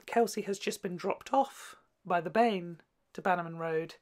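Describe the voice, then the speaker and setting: A woman hosting a video, giving casual, gushing and expressive commentary in a campy way. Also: on the low side, normal speed. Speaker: YouTube host reviewing a children's TV show.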